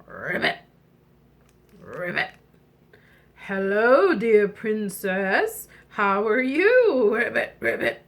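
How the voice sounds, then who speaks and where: A woman voicing a lonely, kindly male storybook frog who croaks and draws out his words. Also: fairly high pitch, sing-song, pitched below the performer's own voice, exaggerated voice. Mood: friendly greeting. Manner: croaks "ribbit", slow drawn-out words.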